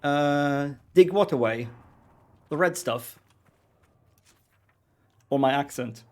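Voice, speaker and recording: A man's voice: on the high side, slow pace, clear, resonant tone. A man with a Swedish accent, a teacher and game streamer chatting casually, rambling and hesitant with many ums and restarts.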